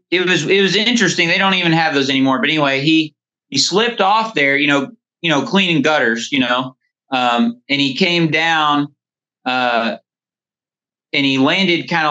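A man in his thirties with a bright, forward voice and a middling pitch. He talks casually and ramblingly, like a guest sharing stories, over a dull phone connection. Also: moderate pace. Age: 30s. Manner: rambling, frequent "you know". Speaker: podcast guest, lawn care business owner. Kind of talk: casual interview storytelling. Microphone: phone call. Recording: dull, band-limited phone call.

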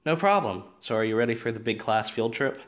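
A man plays a direct teenage boy in an audio drama, blunt but caring. His voice is mid-pitched and conversational. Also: animated, a little fast, a bit bright, close to an everyday voice. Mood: easygoing.

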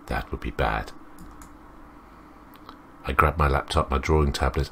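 An extremely deep male voice narrates a visual novel for a let's play. He rambles and breaks off to comment on what he reads, over faint noise of clicks and typing. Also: a bit bright. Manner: rambling, self-interrupting. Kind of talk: reading a visual novel aloud with off-the-cuff commentary.

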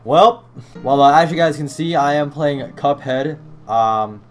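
Brief: young male streamer, a bit high-pitched, hoarse, cursing at his desk. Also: unhurried, soft background music. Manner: swears and shouts in frustration. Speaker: gaming YouTuber. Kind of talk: webcam gameplay commentary. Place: small room at a desk. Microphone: desk mic beside keyboard, wearing headset.

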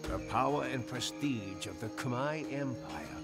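Guy doing dramatic game cutscene narration, medium pitch but really animated, big music behind him. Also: a little slow, gravelly. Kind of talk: dramatic scripted storytelling narration.

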